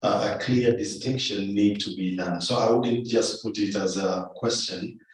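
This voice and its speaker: A man moderating an academic meeting in an unscripted, formal way, courteous and deliberate, with some halting as he goes. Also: fairly low pitch, steady tone. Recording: distant, very echoey room, faint noise.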